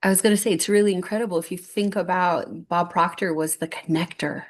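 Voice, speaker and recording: A woman in her fifties speaks with a somewhat low voice, earnest and rambling. She tells a personal story on stage and often restarts her sentences. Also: fairly even intonation, fairly quick. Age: 50s. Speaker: guest speaker.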